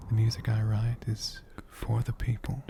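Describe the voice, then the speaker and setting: A man speaking as an ASMR host in a soft, gentle, hushed whisper, taking his time. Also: fairly low pitch, completely flat delivery, moderate pace, gravelly, slight background noise.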